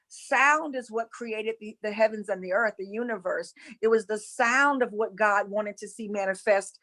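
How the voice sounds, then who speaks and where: A woman's voice: medium pitch, a bit bright. A woman from North Carolina with a Southern accent, teaching about spirituality casually and earnestly, repeating phrases for emphasis. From North Carolina, USA.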